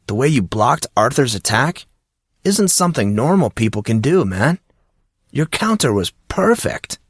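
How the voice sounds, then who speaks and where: A man's voice: medium pitch, very animated, unhurried, slightly rough, dry recording, clean studio sound, pitched above the performer's own voice. A man voicing a laid-back teenage boy who is keen on martial arts and talks in casual dude-and-bro slang.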